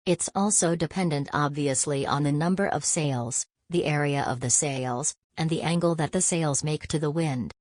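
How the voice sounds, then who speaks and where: A woman's voice: low-pitched, normal speed, hardly any pauses, darkish tone, dry recording, clean studio sound. A woman reading a scripted passage aloud, as narration for practice.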